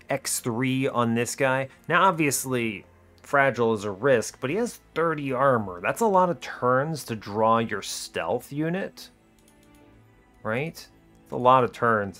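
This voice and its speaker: Male gaming host, mid-pitched, casual and analytical, talking through decisions with faint music underneath. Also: fairly bright tone. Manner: explains his reasoning as he plays, self-questioning. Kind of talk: casual strategy game commentary, thinking aloud. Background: music behind the voice.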